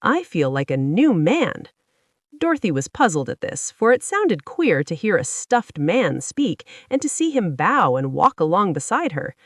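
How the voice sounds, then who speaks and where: A woman's voice: mid-range voice, very animated, normal speed, few pauses, no room sound, clean studio sound. A woman reading a children's story aloud as a scripted narrator.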